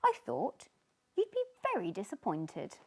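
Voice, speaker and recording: Bossy, helpful tiny storybook fish, played by a woman: encouraging, highly animated delivery. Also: unhurried, slightly rough, full character voice. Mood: surprised. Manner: encouraging, coaxing. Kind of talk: children's storybook character voice.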